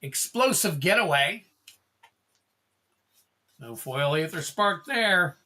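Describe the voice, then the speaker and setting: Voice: medium pitch, lively intonation, takes it slowly, sharp tone. A livestream host opening card packs, chatting casually, calling out card names and thanking viewers in a rambling way.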